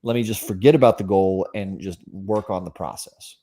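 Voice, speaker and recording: A man in his forties co-hosting a podcast in casual conversation about his field, halting as he thinks aloud. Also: deep voice, warm tone. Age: 40s. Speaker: podcast co-host. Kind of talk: casual fitness podcast discussion.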